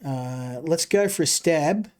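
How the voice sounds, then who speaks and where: A man with a broad Australian accent narrates his game as he plays. His voice sits a little high for a man, and he rambles and circles back over words while thinking aloud. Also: animated, takes it slowly, a little mellow. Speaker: game streamer. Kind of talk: casual gameplay commentary.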